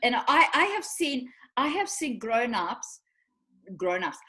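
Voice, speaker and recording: A woman's voice: a little high, sing-song, slow, bright, forceful tone. Chatty Romanian-accented woman. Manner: chatty storyteller, laughs often. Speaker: co-host. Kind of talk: casual chat.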